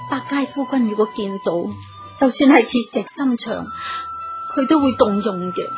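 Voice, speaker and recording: An actress playing a humble, sorrowful, faithful wife in a Cantonese radio drama. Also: female voice, fairly high pitch, moderate pace, lightly acted. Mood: sorrowful hope. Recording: music clearly under the voice.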